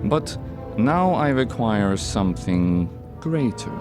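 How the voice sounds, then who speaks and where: Suave undead count, taunting, deliberate pacing, music underneath, theatrical audio drama. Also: male voice, fairly low pitch, very animated. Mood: menacing. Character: suave, menacing male vampire count, imperious and mocking.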